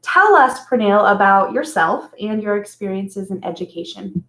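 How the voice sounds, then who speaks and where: A woman's voice: mid-range voice, animated, normal speed, a bit bright, reverberant, low-quality recording. A woman co-hosting a live chat, asking questions in an upbeat, friendly way. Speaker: co-host moderating the chat.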